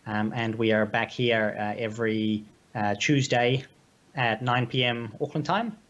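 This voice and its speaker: Slightly hoarse New man, halting. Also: medium pitch, a bit bright. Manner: halting, heavy with hesitations. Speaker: co-host of a political livestream. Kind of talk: casual political talk.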